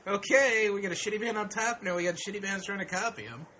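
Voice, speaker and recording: A man in his thirties joining a podcast chat as a guest co-host over a video call, cracking crude, mocking jokes and laughing loudly. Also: high voice, fast talker, slight background noise, low-quality recording. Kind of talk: casual podcast banter.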